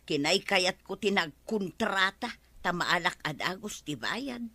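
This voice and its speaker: Fed up ilocano farm wife, radio comedy, lively swoopy voice, dry studio sound. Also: female voice, fairly low pitch, measured pace, dry recording, full character voice. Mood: regretful. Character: exasperated farmer's wife in a village comedy.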